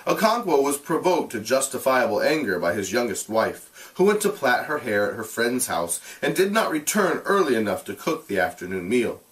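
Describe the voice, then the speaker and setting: A man reading aloud like a teacher, in an expressive narrating style with deliberate, explanatory delivery. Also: medium pitch, recorded from a distance, poor audio.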